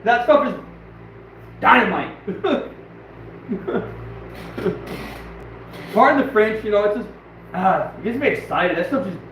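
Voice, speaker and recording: A hoarse, fairly high-voiced man hosts a rambling outdoor livestream, laughing often and getting worked up. He sounds distant from the phone, and the audio is heavily echoey and dull. A faint hiss sits underneath. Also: slow, pauses often. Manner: rambling, laughing, blunt and excitable. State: hoarse, losing his voice. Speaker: trapper and channel host. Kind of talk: casual livestream chat.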